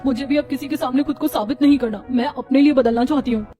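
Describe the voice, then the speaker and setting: A woman's voice: sits high, fast talker, warm tone, close to an everyday voice. A woman playing a hurt, earnest young daughter-in-law with a plaintive manner in a cartoon. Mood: earnest, firm.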